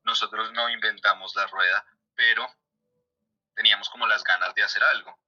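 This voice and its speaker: A man answering conversationally in an interview, halting and repeating words as he searches. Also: lowish voice, fairly even intonation, bright, forceful tone, dull audio. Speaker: theatre performer being interviewed.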